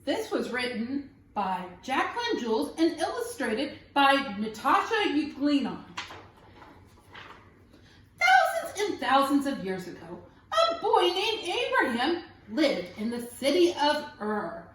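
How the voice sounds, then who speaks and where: A woman with a high, very animated voice reads a children's picture book like a teacher at storytime. She is expressive and often stops to ask questions or point at pictures. The room around her sounds echoey. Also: slow, distant mic. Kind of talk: animated read-aloud storytelling for young children.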